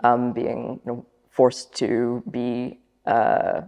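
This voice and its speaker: A young woman with a low, gravelly voice explains training material semi-scripted. Her intonation stays flat and even, and the room rings with long reverb. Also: extremely slow, highs cut off. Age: young adult. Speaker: youth presenter in a training film.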